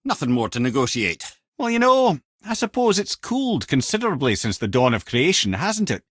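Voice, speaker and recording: A clever old Scottish storyteller, the talking head of a wise man in a fantasy game. The delivery is theatrical and folksy, with wry humor and pitch that swings widely. The sound is dry, with no room around the voice. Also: very animated, moderate pace, fairly bright tone, breathy edge, dry recording, heavily acted. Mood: wry, musing. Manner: wry, theatrical, folksy, addresses listeners as brother and lad. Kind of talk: expressive video game character acting, storytelling and banter.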